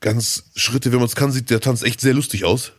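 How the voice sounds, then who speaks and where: Man, medium pitch, slightly hoarse from a cold, rambling podcast storyteller, dry close mic. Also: steady tone, moderate pace, no room sound. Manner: chatty, storytelling, rambling with fillers. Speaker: podcast co-host. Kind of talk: casual podcast chat.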